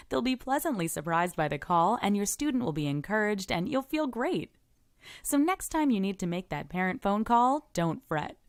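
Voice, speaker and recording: Woman narrating instructional tips with lively intonation. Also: mid-range voice, no echo, studio quality. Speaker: podcast presenter giving tips to teachers.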